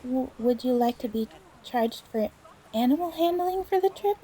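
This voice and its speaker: A young woman playing a nervous, flustered ship charterer in an audio drama, stammering and timid in a halting way. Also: high voice, animated, warm tone, slight background noise, poor audio. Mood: nervous, helpful. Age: young adult.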